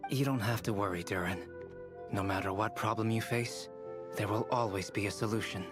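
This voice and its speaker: A man playing a calm young alchemist in a video game, measured, analytical and reassuring. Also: fairly low pitch, lightly acted. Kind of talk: video game drama acting. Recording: loud music behind the voice. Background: music.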